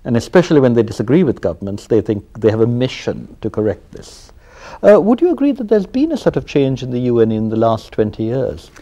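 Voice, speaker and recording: Sri male host, expressive pitch, mellow tone; long studio questions. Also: a little fast. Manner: animated, questioning, with hesitant fillers. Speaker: interview host. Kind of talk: formal studio interview. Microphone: lapel.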